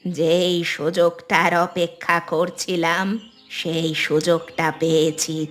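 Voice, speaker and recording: Horror cartoon mother, mystic bent on revenge: low, flat intonation, solemn, resolute. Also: takes it slowly, faint music behind. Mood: grim, resolute. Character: grim, vengeful bereaved mother, village tantric practitioner. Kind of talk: scripted Bengali horror cartoon acting.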